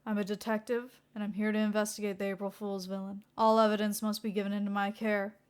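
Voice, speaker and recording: A woman's voice: medium pitch, level tone, fast talker, darkish tone, clean tone, close to an everyday voice. A woman voicing a dogged, self-righteous male police detective in a fan fiction reading, with clipped, officious declarations. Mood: insistent. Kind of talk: acted fan fiction dialogue.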